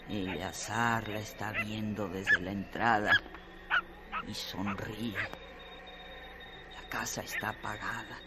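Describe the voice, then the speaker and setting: A man narrating a radio drama from a script in measured, separated phrases. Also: medium pitch. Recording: strong noise behind the voice.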